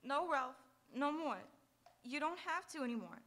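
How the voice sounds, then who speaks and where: A woman's voice: high-pitched, expressive, moderate pace, a bit bright. A woman playing a distraught, protective woman in an old-time radio crime drama, pleading and melodramatic. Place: auditorium stage, live radio theatre.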